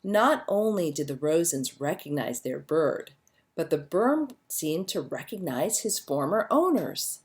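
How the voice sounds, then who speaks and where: Expressive woman reading a storybook, lively pitch. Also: unhurried. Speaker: storybook reader. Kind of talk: scripted children's story narration, read aloud.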